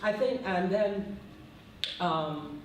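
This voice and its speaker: A woman's voice: mid-range voice, expressive, extremely slow, slight background noise. Guyanese-accented woman professor, thoughtful panelist, distant mic in a very echoey room. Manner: thoughtful, often adds "right?". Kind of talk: panel discussion talk.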